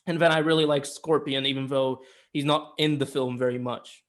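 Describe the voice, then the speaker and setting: A man's voice: mid-range voice, steady tone, normal speed. Male co-host, mid-range voice. Casual, emphatic, opinionated conversational delivery. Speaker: film review show co-host. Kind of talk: casual film discussion.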